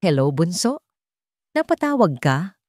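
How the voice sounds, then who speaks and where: A woman's voice: lowish voice, very animated, unhurried, a little mellow, no room sound, studio quality. A woman with a Filipino accent voicing an earnest, caring older sister with a direct, questioning manner in an audio story. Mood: forced cheer.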